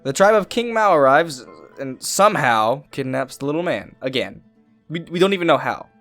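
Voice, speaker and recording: A man's voice: medium pitch, very animated, moderate pace, a bit bright, faint music behind. A young man hosting a comedic movie review, crude and mocking. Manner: crude, mocking, laughs often. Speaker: host.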